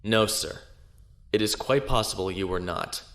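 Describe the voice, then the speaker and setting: Defiant male space-navy lieutenant, low register, formal and assured argument, sci-fi audio drama, echoing room. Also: moderate pace, a bit bright. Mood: confident, insistent. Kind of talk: science fiction audio drama acting. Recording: roomy echo.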